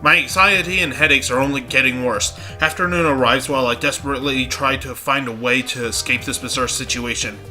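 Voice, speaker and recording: A man's voice: mid-range voice, brisk, few pauses, bright, forceful tone, faint music behind. A congested man reading the uneasy inner monologue of a young female student in a game.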